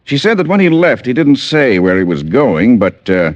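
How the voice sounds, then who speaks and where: An actor playing a polite, earnest male lawyer with a formal, measured way of talking, in old radio drama style. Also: medium pitch, sing-song, no echo, natural voice. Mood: earnest, concerned. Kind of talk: 1940s-50s radio drama acting. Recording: dull, dry old radio recording.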